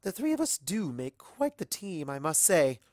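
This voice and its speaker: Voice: mid-range voice, sing-song, moderate pace, darkish tone, no room sound, clean studio sound, pitched above the performer's own voice, lightly acted. A poised cartoon pony lady of society, played by a storyteller. Her delivery is genteel, composed and a little posh. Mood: gracious. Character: refined, poised female pony socialite.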